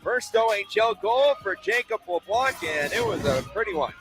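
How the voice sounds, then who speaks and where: A man doing energetic live hockey play-by-play commentary, excited and ready to lift his voice at big moments. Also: sits high, moderate pace, over music, poor audio.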